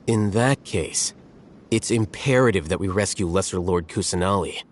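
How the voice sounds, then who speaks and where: A man voicing a calm, scholarly strategist in a video game, speaking in a measured, explanatory way. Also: low voice, slight background noise, lightly acted. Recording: game audio with faint music.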